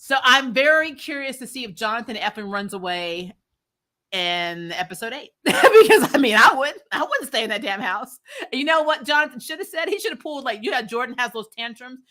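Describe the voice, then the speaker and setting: A woman with a fairly high, very bright voice talks as a recap host. She is animated and blunt, swearing freely in a mock-scolding, exasperated way. The delivery is casual and opinionated, like chatting to regular viewers. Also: fairly quick. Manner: laughs often while talking. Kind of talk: casual opinionated TV review chat.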